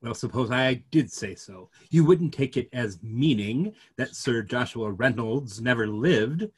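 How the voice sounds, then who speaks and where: A man's voice: medium pitch, lively intonation, unhurried, husky. A man in his fifties reading a play as a mild, earnest clergyman who reasons things out at length. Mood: earnest reasoning. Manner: earnest, discursive. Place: small study, video call.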